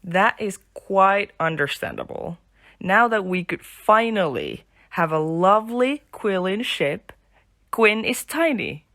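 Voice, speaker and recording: A woman's voice: medium pitch, lively intonation, slow, fairly bright tone, dry recording, studio quality. A woman playing a chatty, playful fangirl in an audio drama, polite and teasing. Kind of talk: amateur audio drama acting.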